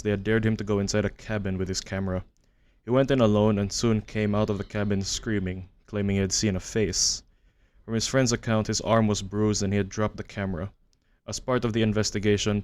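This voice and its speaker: A man narrating a horror commentary as a video essayist, measured and matter-of-fact, reading from a script. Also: fairly low pitch, a little mellow, smooth. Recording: music under the voice-over. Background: background music.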